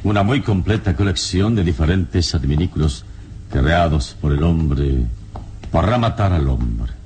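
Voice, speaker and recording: An actor playing a calm, erudite adventurer hero in an old-time radio drama, speaking in a measured, deliberate, didactic way. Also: male voice, deep voice. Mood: calm, instructive.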